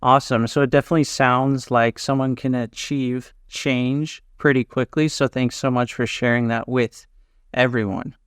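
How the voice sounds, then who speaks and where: Upbeat male podcast host, smooth voice. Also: mid-range voice, steady tone, moderate pace, dry recording, clean studio sound. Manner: upbeat, scripted questions and thanks. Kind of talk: podcast interview hosting.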